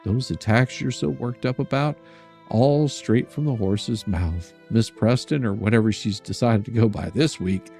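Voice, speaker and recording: A man's voice: fairly low pitch, measured pace, gravelly, a little mellow, soft background music. An older man hosting a stream, reading a letter aloud in a sarcastic, mocking and theatrical way. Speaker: streamer and host.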